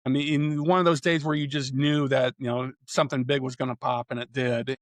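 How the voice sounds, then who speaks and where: A man in his fifties from central Iowa talks through his storm chasing as an interview guest. He speaks at a middling pitch and wanders through his answers, leaning on "you know" and restarting his sentences. The recording is dry, with no room sound. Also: flat delivery, a little fast, dry recording. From central Iowa, USA. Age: 50s. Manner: rambling, many "you know" fillers and restarts. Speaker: storm chaser and photographer, guest. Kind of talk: casual interview conversation.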